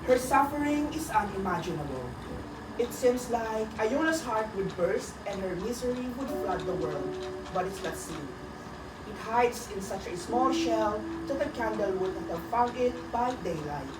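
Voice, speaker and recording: A woman narrating a radio drama in a deliberate, solemn storytelling manner, reading a dramatic script. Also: medium pitch, animated, natural voice. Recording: echoey, distant, very noisy.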